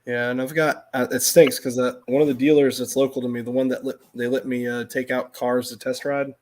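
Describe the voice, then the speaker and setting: A man's voice: medium pitch, level tone, brisk, a little mellow. Rambling middle-aged American man chatting. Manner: rambling, self-interrupting storytelling. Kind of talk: casual livestream chat.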